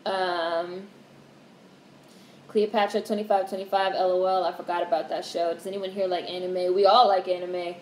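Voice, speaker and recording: A young woman in her twenties hosting a casual livestream, talking in a monotone way, candid and swearing casually as she reads out comments. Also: lowish voice, moderate pace. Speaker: livestream host. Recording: roomy echo with faint background hiss.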